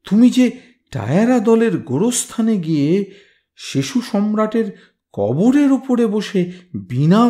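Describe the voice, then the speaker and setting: A man's voice: high voice, mellow, voice pushed up, exaggerated voice. Senior storybook priest, read by a man: admonishing, earnest, protective authority. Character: senior male temple priest, stern, concerned, protective.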